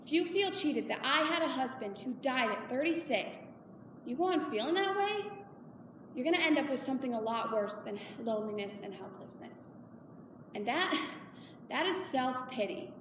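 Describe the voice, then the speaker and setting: A woman's voice: sits high, lively intonation, moderate pace. A woman playing a weary, embittered widowed mother in a dramatic monologue, firm and emphatic with her daughter. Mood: defiant, angry. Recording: echoey hall, far from mic, noisy.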